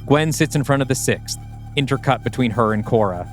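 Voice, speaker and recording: A man's voice: mid-range voice, fairly quick, faint music behind. A man hosting a podcast, reading scripted scene directions as the narrator of an audio play.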